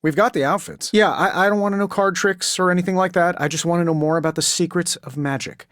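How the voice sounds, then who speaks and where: An actor playing a cocky, wisecracking billionaire inventor superhero in a video game, with an American accent and a glib, sarcastic, quippy delivery. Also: male voice, high-pitched, fast talker, fairly bright tone, dry recording, studio quality. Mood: sarcastic banter. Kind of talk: scripted video game voice acting.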